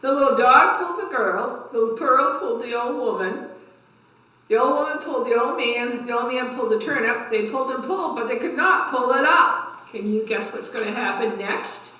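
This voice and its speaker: An older woman reads children's books aloud in a bright, fairly high voice. She adds simple explanations and questions for young listeners. The room is very echoey and she sounds a little way from the mic. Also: expressive, normal speed, highs cut off. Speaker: library story time host. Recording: echoey room, distant microphone.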